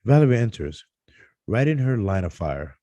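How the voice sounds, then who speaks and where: A man's voice: on the low side, sing-song, normal speed, warm tone, no room sound. A man reading screenplay stage directions aloud, sometimes stumbling over a word and correcting himself. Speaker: reader of stage directions at a script table read. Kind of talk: scripted narration read aloud live.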